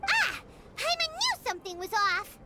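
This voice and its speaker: A woman voicing a tiny floating girl companion in a video game, childlike, squeaky, excitable and a bit whiny. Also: moderate pace, slight background noise, heavily acted. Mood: startled, indignant. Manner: speaks of self in third person, exclamatory.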